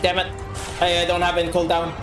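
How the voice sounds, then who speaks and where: A woman from the Philippines streaming a game, chatting casually and getting excitable as she plays. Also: fairly low pitch, quick pace. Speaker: VTuber streamer. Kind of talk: casual gaming chat. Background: game music.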